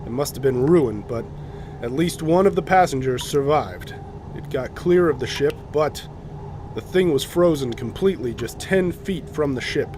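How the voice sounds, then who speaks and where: A man's voice: medium pitch, animated, normal speed, noisy background, lightly acted. An actor playing a serious male expedition officer, giving a firm, earnest briefing in a radio drama. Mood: grave, explanatory. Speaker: second in command of a polar expedition.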